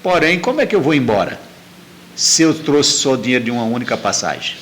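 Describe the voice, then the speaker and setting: A man's voice: mid-range voice, very animated, fairly quick, a bit bright, a little hiss or hum. A middle-aged man telling a personal story as an interview guest, repeating phrases for emphasis.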